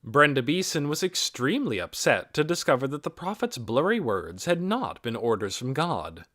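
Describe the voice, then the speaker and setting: Man, audiobook narration, mid-pitched, animated intonation, expressive and measured, dry recording. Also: dry recording. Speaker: audiobook reader. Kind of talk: scripted storybook narration.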